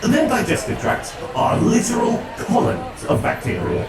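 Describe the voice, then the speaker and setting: A man's voice: sits high, big pitch swings, moderate pace, pitched above the performer's own voice. A man voicing a haughty, bitter and impatient ancient undead machine seer in a dramatic reading. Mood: disgusted. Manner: haughty, scornful. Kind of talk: dramatic audiobook-style reading. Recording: distant echoey voice under loud music.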